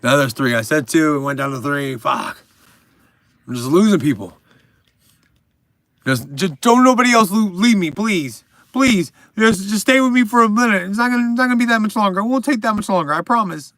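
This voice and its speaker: A man's voice: sits high, expressive, a little fast, a bit bright, dry recording, clean studio sound. A man hosting a casual livestream from home, rambling, swearing and joking with his chat. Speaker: livestream host.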